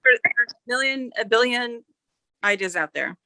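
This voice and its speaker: A woman's voice: on the high side, animated, unhurried, bright, forceful tone, no echo, rough recording. A mature woman chatting casually as an author guest, rambling a little and correcting herself as she goes. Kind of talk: casual interview chat.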